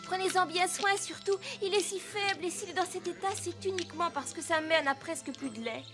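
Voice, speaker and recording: An actress playing a gentle, worried young woman in a cartoon, polite and pleading. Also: extremely high pitch, fairly quick, over music. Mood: worried, sad. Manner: polite, soft-hearted, pleading.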